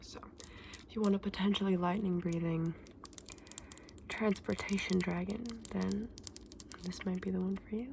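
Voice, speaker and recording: A woman doing a soft-spoken, often whispered ASMR roleplay as a gentle, chatty shopkeeper, explaining her wares informally and without hurry. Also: medium pitch, steady tone, normal speed, gravelly, pauses often, background noise. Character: gentle, chatty woman selling dragon eggs.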